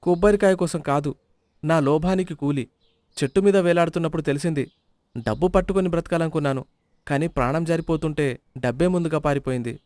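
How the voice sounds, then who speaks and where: A man's voice: on the high side, brisk, darkish tone, dry recording, lightly acted. A man voicing a stingy middle-aged village man in a storybook tale, whiny and always counting money. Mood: rueful. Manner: whiny, counts every rupee. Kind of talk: storybook voice acting.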